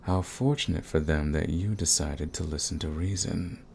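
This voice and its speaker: A man playing a suave, sadistic vampire lord in an ASMR roleplay, courtly and menacing, with a gravelly, husky voice. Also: rumbling low, darkish tone, slight background noise. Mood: smug, courtly. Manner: courtly, purring, slow and menacing. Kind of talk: ASMR roleplay, scripted monologue to the listener.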